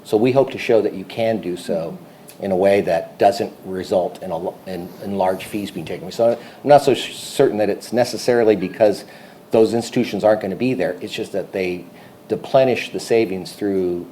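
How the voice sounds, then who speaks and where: Dark-voiced, deliberate male panelist. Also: lowish voice, slight background noise. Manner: measured, hesitant, many fillers. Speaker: head of a state savings program, panelist. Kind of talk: unscripted panel answer. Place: conference room, before an audience.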